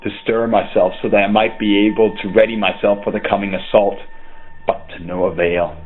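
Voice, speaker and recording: A man playing a haunted, dread-filled character who records a horror audio log in grave, deliberate, formal language, with a husky voice. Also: fairly low pitch, level tone, narrow, tinny audio, faint music behind, close to an everyday voice. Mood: mounting dread. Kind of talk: scripted first-person horror narration.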